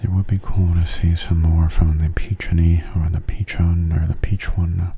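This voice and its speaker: A man murmurs gameplay commentary in a soft ASMR style. His voice is very mellow and husky, with an even, narrow melody. He stays hushed and often slips into a whisper. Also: deep voice, normal speed. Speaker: video game streamer.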